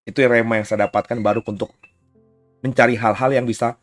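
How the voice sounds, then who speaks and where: A man's voice: mid-range voice, fairly even intonation, brisk, no room sound, faint music behind. A man preaching a conversational sermon in an informal way, often tagging phrases with short checks, while getting over a cough. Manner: informal, frequent "ya" tags and asides. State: recovering from a cough. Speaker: pastor giving a recorded sermon.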